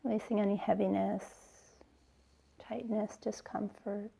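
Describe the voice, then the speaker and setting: A woman yoga teacher leading a guided relaxation in a soothing, gentle way, with unhurried phrases and pauses. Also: mid-range voice, flat delivery, vocal fry, husky, rough recording. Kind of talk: slow guided relaxation instruction.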